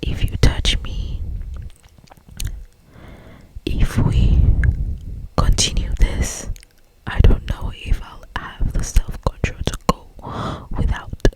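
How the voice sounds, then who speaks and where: A woman voicing a yearning, anguished old male vampire lover in a breathy, hesitant whisper for an ASMR story. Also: takes it slowly, pauses often, lightly acted, rough recording. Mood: anguished longing.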